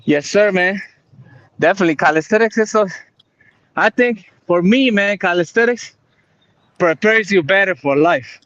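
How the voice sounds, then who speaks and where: A man's voice: sits high, normal speed, long pauses, a bit bright, no echo, dull audio. A man whose first language is not English, working out in a park, out of breath and talking in short, enthusiastic bursts. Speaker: workout partner. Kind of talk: casual chat during workout. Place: outdoor park.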